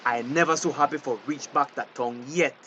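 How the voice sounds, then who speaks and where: A man's voice: medium pitch, brisk, bright, forceful tone, lo-fi sound, slight background noise, close to an everyday voice. An actor playing an anxious, honest fisherman in a drama, pleading urgently in a Belizean Creole accent. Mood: worried. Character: anxious, honest male fisherman, wary of crime. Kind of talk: naturalistic dialect radio drama acting.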